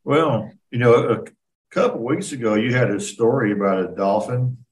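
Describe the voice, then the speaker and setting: A man's voice: mid-range voice, expressive, moderate pace. A man co-hosting a casual talk show, chatting about odd news with wry, joking interjections.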